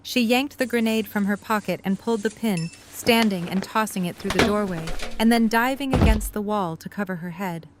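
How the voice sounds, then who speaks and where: A woman's voice: medium pitch, normal speed, few pauses, very noisy. A woman reading scripted story narration as the narrator of an audio drama.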